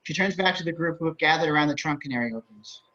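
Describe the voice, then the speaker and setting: A man with a slightly high voice reads scripted stage directions. The pace is quick, and the sound is a bit dull, as on a video call. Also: sharp tone. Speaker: narrator reading stage directions. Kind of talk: scripted narration for a table reading.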